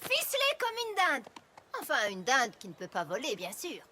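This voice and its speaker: A woman voicing a plain-spoken, stubborn young female farm pony in an animated cartoon, dry and reproachful. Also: high voice, moderate pace, noisy background. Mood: dry teasing. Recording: loud music behind the voice.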